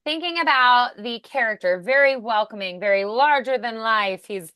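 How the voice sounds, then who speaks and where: A woman coaches voice acting in a casual, encouraging way. Her voice is bright and a little high. The sound is dry, with little room around it. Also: lively intonation, moderate pace, smooth, dry recording.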